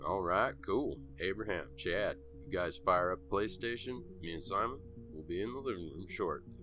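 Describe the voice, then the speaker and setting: A man's voice: sits low, moderate pace, bright, forceful tone. A man playing a brash, blunt, foul-mouthed young man in an amateur horror audio drama, talking in casual slang. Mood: casual, upbeat. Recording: music behind the voice. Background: background music.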